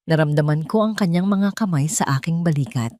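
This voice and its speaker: A woman's voice: low voice, a little fast, mellow, dry recording, clean studio sound. A woman reading a scripted first-person story in a solemn, melodramatic way. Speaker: story narrator.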